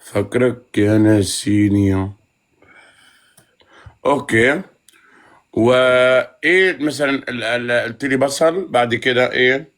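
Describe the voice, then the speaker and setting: A man's voice: medium pitch, slow pace, pauses often, a bit bright. A man hosting a casual live chat in banter, teasing, bargaining and often repeating himself. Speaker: live stream host.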